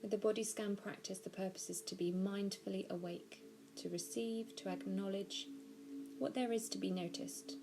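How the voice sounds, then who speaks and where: A woman narrating a guided body scan meditation, giving gentle, measured instructions slowly with pauses. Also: medium pitch, steady tone, poor audio. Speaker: mindfulness practitioner guiding a meditation.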